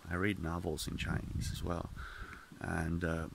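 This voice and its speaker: A man's voice: sits low, flat delivery, measured pace, creaky, noisy background. A man rambling in a candid, profane monologue, full of restarts and detours, with Finnish as his first language. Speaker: vlogger.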